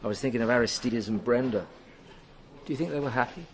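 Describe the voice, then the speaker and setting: An actor playing a shrewd middle-aged police inspector in a radio drama, with a dry, teasing, commanding way of talking. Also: male voice, medium pitch, quick pace, lightly acted. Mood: wry. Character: shrewd, wry older male police inspector.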